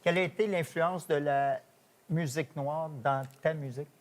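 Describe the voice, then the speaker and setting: Une femme qui anime un talk-show et présente ses invités de façon posée et claire. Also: female voice, sits low. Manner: clear, measured introductions. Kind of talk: TV interview questions.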